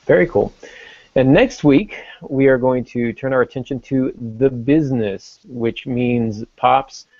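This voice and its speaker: A man's voice: medium pitch, unhurried, vocal fry, dry recording. A man hosting a podcast in an informal, conversational way. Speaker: podcast host. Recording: dull lo-fi audio.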